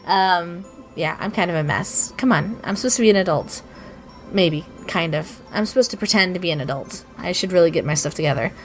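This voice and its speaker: A young woman with a cold, her voice raspy and sniffly, rambling casually and self-deprecatingly over her art video with many ums and restarts. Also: fairly low pitch, lively intonation, fairly quick, soft background music, rough recording. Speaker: artist narrating her speedpaint.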